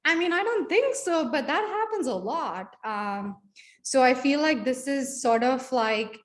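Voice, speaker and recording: Woman, social work researcher on a panel, reflective, chatty delivery, higher-pitched voice. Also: lively intonation, a little slow. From India. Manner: reflective, chatty, full of "like" and "you know". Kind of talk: panel discussion answer.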